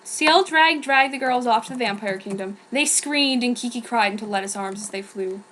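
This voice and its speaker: A woman's voice: a little high, moderate pace, fairly bright tone, a little hiss or hum. Earnest woman reading fan fiction aloud. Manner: earnest, a little hesitant, with occasional slips. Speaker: reader. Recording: background soundtrack music.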